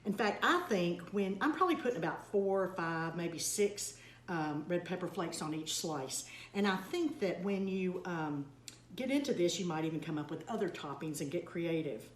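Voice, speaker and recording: A woman's voice: fairly low pitch, lively intonation, normal speed. An older woman, hosting a casual cooking demonstration in a large home kitchen and chatting homily while she works. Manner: chatty, homey, talks while working. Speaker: cooking segment host. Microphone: fixed camera across the kitchen counter. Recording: roomy kitchen with faint hum.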